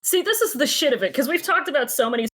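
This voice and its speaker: A woman's voice: sits high, animated, quick pace, a bit bright. A woman hosting a casual fan podcast, wry and informal, with some swearing. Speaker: podcast host.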